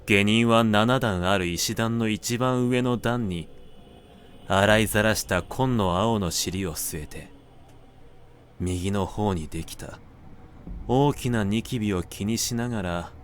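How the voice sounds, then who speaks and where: A man narrating a literary audiobook in a measured, deliberate manner with dramatic pauses. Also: on the low side, a little hiss or hum. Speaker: narrator reading literature aloud.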